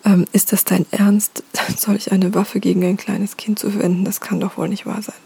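A woman voicing a grieving, furious male wind god, whispering softly. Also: mid-range voice, little pitch movement, moderate pace, gravelly, breathy edge, dry recording. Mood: angry grief.

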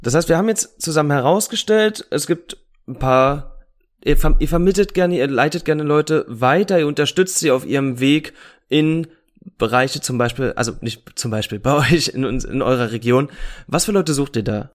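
A man's voice: fairly high pitch, normal speed. A man hosting a podcast, asking questions hesitantly and rephrasing as he goes. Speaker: podcast host. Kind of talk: interview questions.

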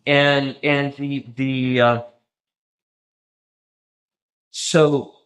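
A man explaining in a lecturing way in conversation, often pausing with ums and restarting his sentences. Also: sits low. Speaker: interview guest.

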